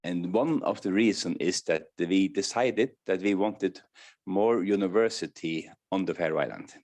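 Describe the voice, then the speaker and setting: A man on a conference panel making a short, plain, slightly halting comment. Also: sits low, darkish tone, dry recording.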